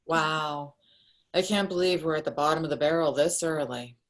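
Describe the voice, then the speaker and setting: A woman's voice: low-pitched, normal speed, lightly acted. An actress playing a sarcastic, image-conscious young woman in a screenplay table read.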